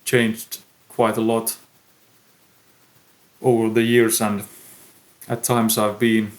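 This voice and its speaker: A young man with a Finnish accent, a musician answering interview questions in a thoughtful, pausing way. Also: on the low side, flat delivery. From Finland. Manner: slow, thoughtful, pausing often. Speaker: musician guest. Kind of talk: podcast interview.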